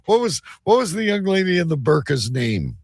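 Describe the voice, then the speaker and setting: A man's voice: a little high, sing-song, moderate pace, slightly rough, no echo, clean studio sound. A man hosting a casual live talk show, teasing and prodding his guests with joking questions.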